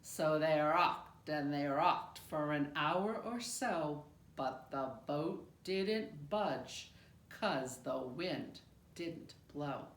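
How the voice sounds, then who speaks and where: A woman reading a rhyming picture book aloud in an expressive, measured storytelling manner with deliberate pauses. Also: deep voice. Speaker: storybook reader. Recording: roomy, echoing room sound.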